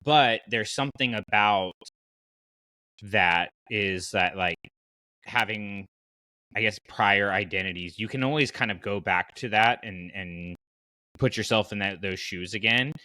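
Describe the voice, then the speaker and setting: Bright-voiced, lowish-pitched man podcasting. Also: measured pace, dry recording. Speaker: podcast host. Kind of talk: casual fan podcast discussion.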